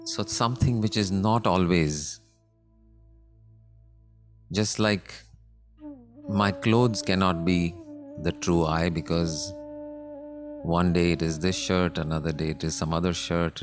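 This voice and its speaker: A man with an Indian accent leading a guided meditation in a measured, deliberate manner with long pauses, teaching contemplatively. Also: low voice.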